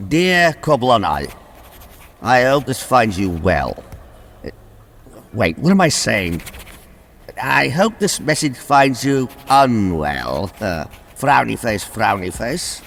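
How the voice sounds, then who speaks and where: A man's voice: medium pitch, big pitch swings, slow pace, creaky, pauses often, a bit bright, a little hiss or hum, heavily acted. A man playing an imperious, petulant king in a comic radio play, pompous and indignant. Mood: pompous dictation. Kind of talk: radio comedy drama acting. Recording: long reverberant hall.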